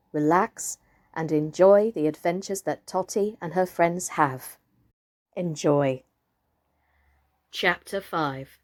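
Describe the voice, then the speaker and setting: A woman reading a children's story aloud as scripted, expressive narration. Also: mid-range voice. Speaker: storyteller, reader.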